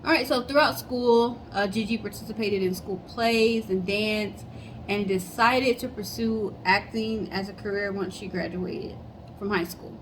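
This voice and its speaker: A woman's voice: mid-range voice, measured pace, slight background noise, rough recording. A woman with a mid-range voice co-hosts a casual storytelling show. She talks in a friendly, upbeat manner. There is slight room ambience behind her. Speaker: co-host.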